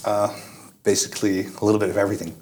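A man's voice: on the low side, level tone, racing, darkish tone. A young Dutch man answering interview questions in a casual, hesitant way, with many fillers and restarts. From Netherlands. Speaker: guest.

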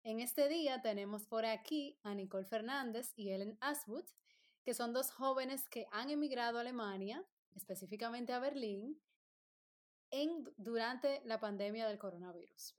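A woman hosting a casual podcast chat, bubbly and quick to laugh and joke. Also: fairly high pitch. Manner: bubbly, laughs often, jokes. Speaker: podcast host.

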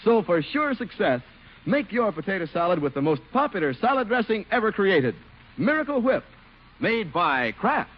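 High, lively male radio announcer. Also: moderate pace, noisy background. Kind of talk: scripted 1950s radio announcing and advertising. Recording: muffled old radio broadcast. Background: music.

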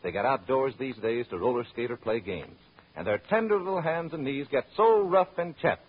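A man's voice: mid-range voice, expressive, normal speed, husky. An American man delivering a scripted 1930s radio commercial as an announcer, in a friendly, direct sales style. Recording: muffled vintage radio recording.

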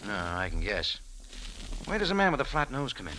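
An actor playing a tough, wry American bar owner in a clipped, sardonic 1940s radio drama style. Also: male voice, fairly low pitch, wildly swooping pitch, fairly quick, pushed and bright, slight background noise, heavily acted, low-quality recording. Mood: dry, confident. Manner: clipped, hard-boiled, sardonic. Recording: vintage radio recording with music.

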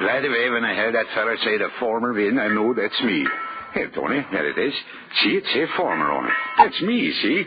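An actor playing a naive, cheerful sailor with a Swedish accent who dreams of farming, in a 1930s radio drama. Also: male voice, fairly low pitch, very animated, fairly quick. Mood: excited. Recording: muffled old radio recording, music behind.

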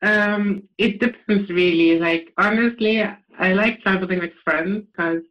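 A man telling travel stories in a casual chat over a video call, animated, laughing often and acting out what people said to him. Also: high-pitched, measured pace. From Netherlands. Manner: animated storyteller, laughs often, acts out exchanges. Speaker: podcast guest. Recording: dull lo-fi video call audio.

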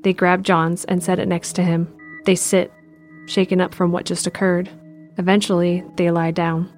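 A female narrator with a slightly low voice reads the stage action of an audio drama in scripted style. Soft music sits underneath. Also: level tone, normal speed.

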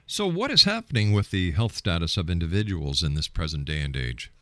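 A man's voice: low-pitched, big pitch swings, a little fast, bright, forceful tone. A man hosting a radio interview, putting probing, challenging questions to a guest in a conversational way.

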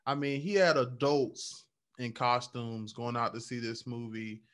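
A man's voice: mid-range voice, fairly even intonation, unhurried, fairly bright tone. A man co-hosting a podcast, speaking reflectively in casual chat and often checking in with his listener. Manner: reflective, many "um"s and "right?" check-ins. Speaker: podcast co-host.

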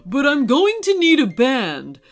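A woman voicing a jolly, kind old male Santa who laughs a booming ho-ho. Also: huge pitch swings, unhurried, soft background music, exaggerated voice. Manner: booming ho-ho laughter, hearty and loud.

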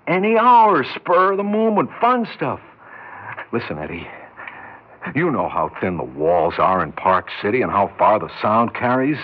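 A lonely, obsessed American man around forty in a 1960s radio play. He pleads nervously, stammering and doubling back on his words. His voice sits at an average male pitch on a muffled old broadcast recording. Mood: agitated, then earnest. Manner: halting, stammering, repeats words. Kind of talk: 1960s radio drama acting.

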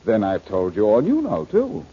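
An actor playing a sly, teasing, unflappable wisecracking sleuth in an old-time radio crime drama. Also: male voice, fairly low pitch, huge pitch swings, moderate pace, breathy edge, full character voice. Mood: teasing, glib. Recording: dull vintage radio recording.

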